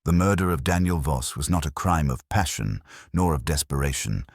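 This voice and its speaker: A man acts out a brilliant, exacting detective for an audiobook mystery. He is ponderous and formal, with a very deep, rough-edged voice that moves expressively as he pronounces his conclusions. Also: expressive, moderate pace, no room sound, studio quality, full character voice. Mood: grave, certain. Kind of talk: audiobook character dialogue.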